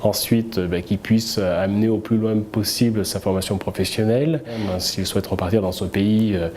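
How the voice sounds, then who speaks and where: Man, workplace tutor, low mellow voice, faint background hum. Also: fairly even intonation. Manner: measured, hesitant with fillers. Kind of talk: corporate video testimonial.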